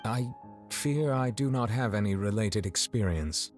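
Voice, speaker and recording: An actor playing a grave, gentle, dignified judge in a game, speaking formally and deliberately. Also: male voice, fairly low pitch, expressive. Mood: gentle, reserved. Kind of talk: game voice acting. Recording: music playing behind the voice.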